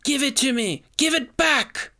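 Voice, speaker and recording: A man's voice: very high voice, measured pace, pushed and bright, pitched above the performer's own voice, exaggerated voice. A man voicing a cruel, commanding witch in an audio story, sickly sweet with menace. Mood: desperate screaming. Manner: sickly sweet veil over shrieking menace.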